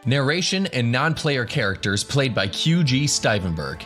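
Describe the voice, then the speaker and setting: A man's voice: medium pitch, sing-song, moderate pace, a bit bright, soft background music. A man narrating a scripted fantasy radio drama. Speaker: narrator, game master.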